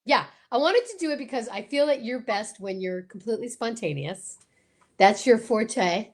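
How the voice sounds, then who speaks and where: A woman co-hosting a live show with excited, casual banter, gushing and theatrical in manner. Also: fairly high pitch, moderate pace. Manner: theatrical, gushing, sing-song exclamations.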